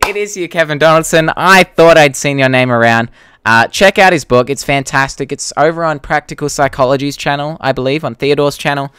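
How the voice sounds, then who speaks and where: A man's voice: on the high side, a little fast, a bit bright, clean tone, dry recording, clean studio sound. A young Australian man hosting a casual live Q&A, chatting to viewers and reading their comments in a blunt, informal, sweary way. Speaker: YouTube creator hosting a live Q&A.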